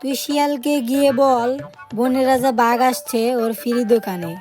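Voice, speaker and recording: A woman's voice: fairly high pitch, fairly even intonation, a little slow, close to an everyday voice. A woman voicing a clever, protective mother hen in a cartoon, knowing and motherly. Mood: confident plotting. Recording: music behind the voice.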